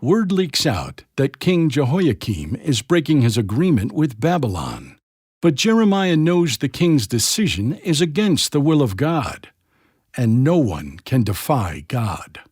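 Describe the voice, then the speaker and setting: Slightly husky, animated male storyteller. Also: medium pitch, measured pace, no room sound, studio quality. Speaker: narrator. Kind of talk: dramatic scripted storytelling for children.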